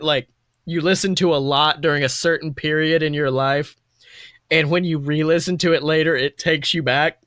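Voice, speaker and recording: A man's voice: on the high side, a bit bright, no echo, clean studio sound. A young man co-hosting a podcast, chatting casually and enthusiastically in a rambling, gushing way that often interrupts itself. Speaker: podcast co-host.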